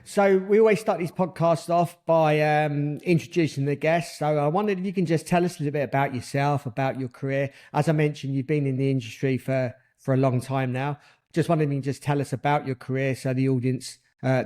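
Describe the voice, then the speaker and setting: A man's voice: medium pitch, steady tone, brisk, a little mellow. A man hosting a podcast interview, asking questions in a conversational, slightly hesitant way. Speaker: podcast host.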